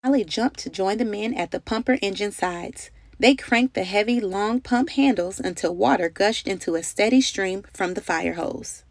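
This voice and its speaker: Expressive woman storyteller in a dry room. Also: mid-range voice, moderate pace, creaky, hardly any pauses, no echo. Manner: dramatic, carefully phrased storytelling with emphatic delivery. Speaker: storybook reader. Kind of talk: expressive children's story narration.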